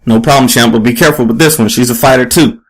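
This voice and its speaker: A man's voice: mid-range voice, fast, lightly acted. Man doing an eager, starstruck young gym buddy.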